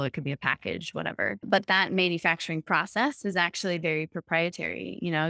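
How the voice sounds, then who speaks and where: A woman founder being interviewed, explaining her company's platform in a fluent, steady pitch. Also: dry recording. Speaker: startup founder. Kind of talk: business interview.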